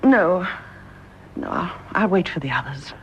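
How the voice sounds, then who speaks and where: A British actress playing a devoted, anxious young wife in a radio drama, genteel and hesitant. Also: female voice, on the low side, expressive, unhurried, slight background noise, low-quality recording. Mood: troubled, earnest. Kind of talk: BBC radio drama acting.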